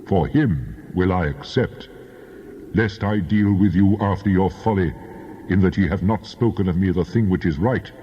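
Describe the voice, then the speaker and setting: An actor playing a stern, commanding God in a dramatized scripture reading, solemn and authoritative. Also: male voice, low-pitched, moderate pace, warm tone, husky. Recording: long reverb, dull lo-fi sound.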